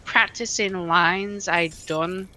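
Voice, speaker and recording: Chatty, bright-voiced woman streamer. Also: fairly low pitch, fairly even intonation, takes it slowly. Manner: rambling, lots of fillers and restarts. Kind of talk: casual chat while gaming.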